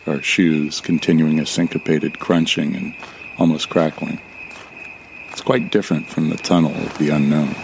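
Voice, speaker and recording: A man playing a wary, reflective traveler who narrates his own journey in measured, descriptive audio drama storytelling. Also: rumbling low, gravelly, darkish tone, slight background noise, rough recording.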